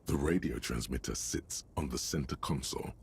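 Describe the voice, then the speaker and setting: Gravelly deep game narrator. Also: male voice, normal speed, slight background noise. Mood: calm. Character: omniscient male narrator of a detective game. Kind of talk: scripted video game narration.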